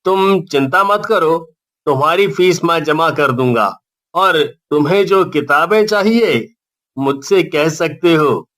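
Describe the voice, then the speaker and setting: A woman's voice: sits low, expressive, slow, a bit bright, full character voice. A woman voicing a kindly, wise male schoolteacher who gives fatherly advice in a cartoon story. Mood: kind, reassuring. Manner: fatherly, advising.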